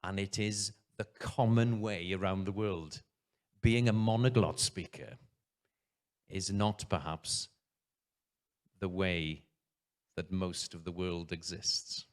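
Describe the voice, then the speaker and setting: A man, a head teacher giving an earnest conference talk from a stage, pausing often. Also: low-pitched, steady tone.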